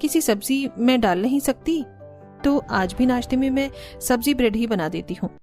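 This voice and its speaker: A gentle, sensible young housewife from a humble family, played by a woman in a cartoon story. She speaks politely and softly, explaining things patiently over faint music. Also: a little high, lively intonation, normal speed, lightly acted. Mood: calm, humble. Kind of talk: Hindi cartoon story dubbing.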